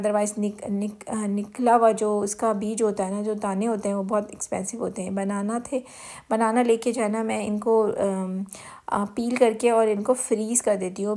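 A woman's voice: medium pitch, a little mellow. A woman with a Pakistani accent giving a casual, chatty home-vlog voice-over, explaining things and mixing in English words. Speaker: home vlogger. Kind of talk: casual grocery haul voice-over.

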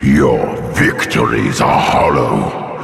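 An actor playing a menacing, wrathful immortal dark lord in a fantasy game, with a gravelly, booming voice, imperious threatening delivery and a monstrous reverberant effect. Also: male voice, slight background noise, full character voice. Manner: slow, imperious, threatening declamation. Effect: deep monstrous reverberant voice processing. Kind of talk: theatrical fantasy game villain acting. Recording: long cavernous reverb, echoing.

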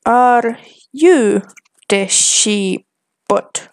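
A young woman reads her own typed chat messages aloud, one careful word at a time. Her voice sits a little high for a woman, and her delivery is very slow and deliberate. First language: Finnish. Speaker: vlogger. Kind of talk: casual commentary reading chat aloud.

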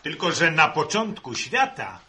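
Curious, earnest young farmer for radio serial; animated, bright, slightly hesitant. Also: male voice, fairly high pitch, a little slow, heavily acted. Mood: defensive. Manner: hesitant, earnest, repeats words. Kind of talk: 1960s Polish radio serial acting.

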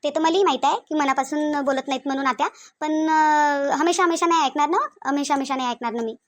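A woman's voice: high-pitched, a little fast, lightly acted. A woman with a Varhadi Marathi accent playing a stubborn, aggrieved young daughter-in-law in a cartoon family drama, complaining insistently and repeating herself. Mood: resigned, firm.

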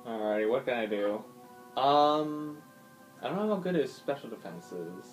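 A man's voice: medium pitch, animated, moderate pace, rough recording. Man muttering through a video game. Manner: thinks aloud, swears, frequent ums and uhs. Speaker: gaming YouTuber. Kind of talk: casual gameplay commentary. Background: faint game music.

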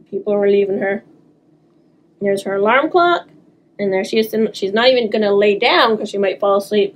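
A middle-aged woman doing a children's story time, reading aloud expressively with teacherly asides. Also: mid-range voice.

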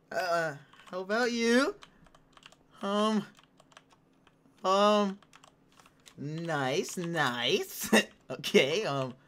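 Teen girl gamer, mid-pitched, chatty and excitable, slightly hoarse; rambling let's-play host. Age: late teens. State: a little hoarse. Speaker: gaming channel host. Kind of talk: casual gameplay commentary.

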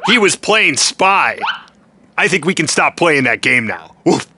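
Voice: mid-range voice, very animated, unhurried, bright, forceful tone, a little hiss or hum. An actor voicing a friendly, goofy and playful talking pet dog in a children's cartoon.

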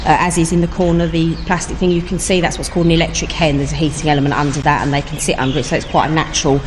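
Fast-talking Englishwoman on a farm. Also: sits low, flat delivery. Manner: quick, chatty, explaining with light laughs. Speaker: farm worker interviewed on radio. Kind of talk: radio interview answers. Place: outdoors on a farm. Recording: outdoor field recording with background noise.

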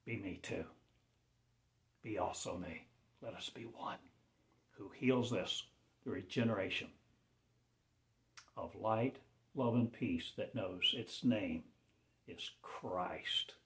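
A man delivering a rambling spiritual proclamation as a self-styled preacher, in solemn, halting fragments that often sink into hushed, near-whispered phrases. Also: on the low side, slight background noise.

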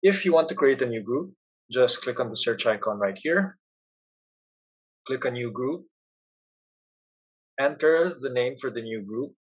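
Husky man narrating a software tutorial. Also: medium pitch, lively intonation, measured pace, pauses often, highs cut off. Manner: plain, instructional. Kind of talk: step-by-step screencast narration.

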